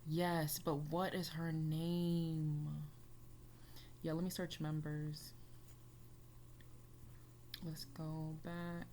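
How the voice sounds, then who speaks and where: A woman's voice: low-pitched, level tone, extremely slow, pauses often, mellow, slight background noise. Rambling, chatty young woman vlogger. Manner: chatty, rambling, many fillers. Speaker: reaction YouTuber. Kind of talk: casual reaction vlog.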